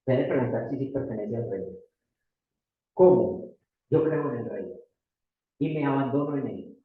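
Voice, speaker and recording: A man's voice: mid-range voice, animated, moderate pace. A Colombian man with a Paisa accent preaching a sermon as pastor, emphatic, repeating key phrases and putting rhetorical questions to the congregation. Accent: Colombian Paisa Spanish. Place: church hall. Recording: distant echoey church room, phone-like thin sound.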